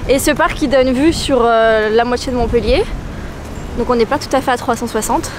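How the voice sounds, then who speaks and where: A woman acting as a local guide, answering questions in a relaxed, matter-of-fact way outdoors. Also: a little high, moderate pace. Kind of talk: casual chat. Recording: street noise outdoors.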